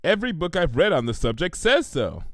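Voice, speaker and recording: A bookish young female cartoon pony, as a man acts her out, prim and earnest. She lectures precisely and matter-of-factly, a little high for a male voice and full of lively inflection. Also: brisk, no room sound, studio quality. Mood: prim, lecturing.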